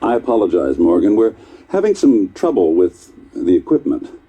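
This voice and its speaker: Video game scientist: dark, husky timbre, lively pitch, chatty, patronising encouragement to a test subject. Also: male voice, moderate pace, dull audio. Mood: breezy, encouraging. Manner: patronising, encouraging, hesitant asides. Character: chatty, distracted male scientist running tests on a subject. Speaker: test supervisor. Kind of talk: game voice acting.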